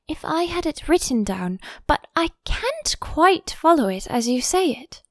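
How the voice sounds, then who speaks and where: Woman playing Alice, a high-voiced polite little girl. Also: very animated, unhurried, no room sound, studio quality. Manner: polite, careful, earnest. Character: polite, thoughtful, curious young girl Alice.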